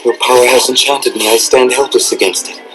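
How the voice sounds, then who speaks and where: A man's voice: medium pitch, steady tone, a little fast, natural voice, poor audio. An actor playing a roguish, impulsive swordsman in fantasy film dialogue. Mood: lovesick. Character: roguish swordsman, lovesick one moment, defiant the next. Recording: film audio under loud music.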